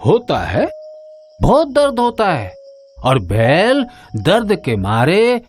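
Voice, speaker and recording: Guy doing a village farmer dad, high swoopy voice, slow drawl, stern but kind, cartoon story w music under. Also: wildly swooping pitch, slight background noise, heavily acted. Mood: instructive, patient. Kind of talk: animated moral story acting.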